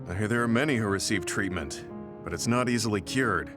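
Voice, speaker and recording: A stoic, thoughtful soldier from a video game played by a man, with a low voice and measured, formal phrasing. He sounds serious and old-fashioned, over clearly audible music. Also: close to an everyday voice. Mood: somber, troubled. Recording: background music over dialogue.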